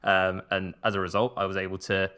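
Low-voiced male podcast host. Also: level tone, a little fast, bright, forceful tone, clean tone. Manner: upbeat, with stammered restarts. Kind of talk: interviewing.

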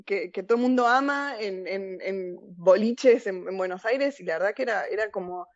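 A woman's voice: mid-range voice, moderate pace, muffled recording. A woman with a Rioplatense Argentine accent, chatting casually and telling personal stories as an interview guest, informal and slangy. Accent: Rioplatense Argentine Spanish. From born in Argentina, grew up in several countries. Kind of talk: casual chat, personal anecdotes.

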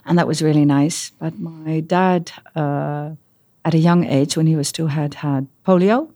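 A middle-aged woman from the Netherlands speaks English with a Dutch accent in an office interview. Her voice sits very low for a woman, and she talks in a lively, open way, now and then pausing to find an English word. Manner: lively, searching for English words. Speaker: podcast guest. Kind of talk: casual interview conversation.

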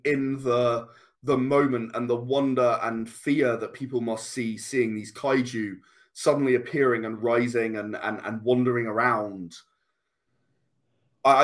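A man speaking as a guest game designer in a casual interview, explaining in long, enthusiastic runs. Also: medium pitch.

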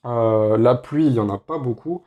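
A young man from France hosting a casual solo podcast, talking in a relaxed way with lots of hesitations. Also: mid-range voice, moderate pace, dull audio. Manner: relaxed, many filler hesitations. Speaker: podcast host.

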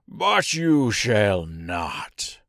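Guy doing a rough gruff little stout creature guarding his hill, drags words out slow, storybook style. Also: on the low side, very animated, fairly bright tone, no echo, clean studio sound, full character voice. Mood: stubborn refusal. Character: armless hammerhead man, stout, gruff and territorial.

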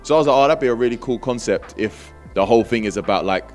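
A man's voice: mid-range voice, fast talker, soft background music. A British man chatting casually as an interview guest, with a British accent and a laid-back, slangy manner. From Britain. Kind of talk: casual chat.

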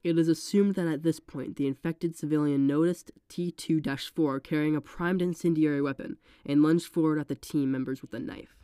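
A narrator getting over a cold, sounding congested, reading a horror case file in a steady, measured way. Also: medium pitch.

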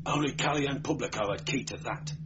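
A man's voice: medium pitch, brisk, pitched above the performer's own voice, lightly acted. A man voicing an anxious, dutiful night watchman who explains earnestly in an audiobook story. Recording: dull, noisy amateur recording.

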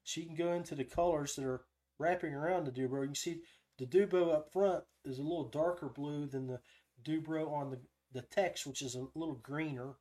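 Higher-pitched man giving a casual tutorial. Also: steady tone, fairly quick, dark tone. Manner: thinks aloud while demonstrating step by step. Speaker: podcast host giving a software tutorial. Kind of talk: casual live screen-share tutorial.

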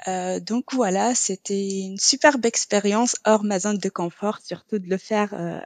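A young woman speaking French as a non-native, talking as a podcast guest and pausing often on filler sounds. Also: medium pitch, steady tone, darkish tone, no echo. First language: neither French nor English, trilingual. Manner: hesitant, many filler sounds. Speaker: podcast guest, quality coach. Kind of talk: casual interview talk.

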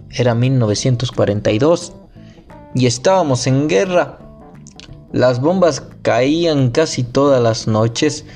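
Male amateur reader, slow and deliberate delivery, mid-pitched, soft guitar playing underneath. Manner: slow, careful reading with occasional slips and restarts. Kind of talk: reading nonfiction aloud.

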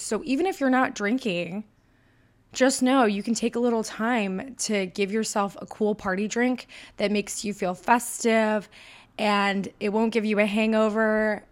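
A woman with a Michigan accent hosts her own podcast in a chatty, bubbly way. Her voice sits at a medium pitch, and her delivery stays upbeat and personal. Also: fairly even intonation, unhurried. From Michigan, USA. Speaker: podcast host. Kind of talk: solo podcast monologue.

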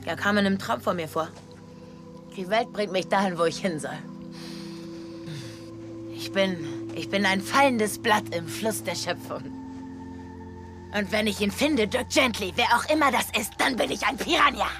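Here is a woman playing an eccentric killer in a dubbed drama. The voice sits at a middling pitch, offhand and blunt with a dreamy menace, over clear music. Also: normal speed, long pauses, lightly acted. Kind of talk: German screen dubbing. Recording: music behind the voice.

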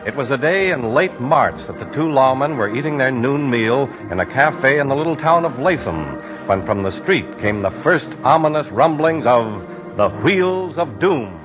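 A man's voice: mid-range voice, expressive, normal speed. An American man announcing and narrating a 1950s radio show in a formal, dramatic scripted style. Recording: dull vintage radio recording. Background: music.

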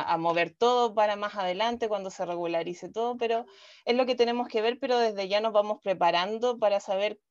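A woman's voice: mid-range voice, darkish tone, highs cut off. A woman, co-hosting a talk show, laughing easily and hesitating often. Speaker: co-host. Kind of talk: casual radio chat.

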